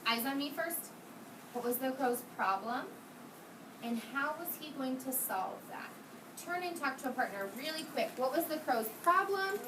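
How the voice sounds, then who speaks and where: Lady teacher doing a read aloud for little kids, high voice, asks questions, noisy classroom. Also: expressive, normal speed. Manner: questioning, repeats answers back, instructive. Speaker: kindergarten teacher. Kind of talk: interactive read-aloud lesson for young children. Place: indoor classroom with young children. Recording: roomy, noisy classroom from a distance.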